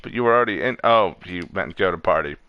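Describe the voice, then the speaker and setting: A man's voice: sits low, animated, fast, no echo, dull audio. A man streaming a video game and chatting casually with a friend in a rambling, sweary way. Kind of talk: casual gaming chat with a friend over voice chat.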